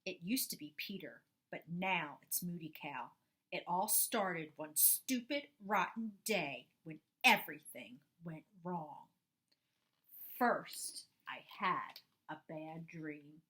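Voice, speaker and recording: A woman's voice: sits low, animated, slow, bright, forceful tone, heavily acted. A woman voicing a grumpy young boy cow, telling a children's storybook in an indignant, dramatic way. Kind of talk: first-person children's storybook narration.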